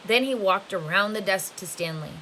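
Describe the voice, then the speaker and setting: A woman reading a novel aloud as a teacher would to students, with expressive storytelling narration. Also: mid-range voice, normal speed, low-quality recording. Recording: faint hiss behind the voice. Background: faint steady hiss.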